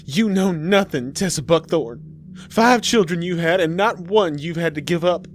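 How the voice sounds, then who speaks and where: A man's voice: on the high side, moderate pace, faint music behind, pitched above the performer's own voice, lightly acted. A man voicing a desperate, defiant farm mother in a horror story, emphatic and accusing. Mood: defiant anger.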